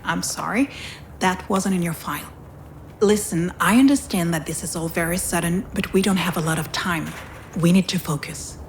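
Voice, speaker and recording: An actress playing a frank, composed, sympathetic hospital doctor in a visual novel, speaking in a measured, professional way. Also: female voice, lowish voice, slight background noise, natural voice. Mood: businesslike.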